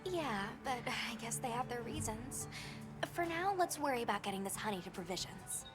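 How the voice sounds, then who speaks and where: A woman voices a young female expedition handler in a video game. Her voice is fairly high, with an earnest, practical way of talking. Music plays clearly behind her. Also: lively intonation, normal speed, close to an everyday voice. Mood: warm, practical. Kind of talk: video game character dialogue.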